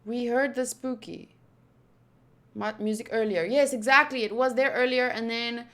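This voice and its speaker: A woman's voice: fairly high pitch, moderate pace, a bit bright. A woman giving casual game playthrough commentary, chatty and musing aloud as she hosts. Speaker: gaming channel host.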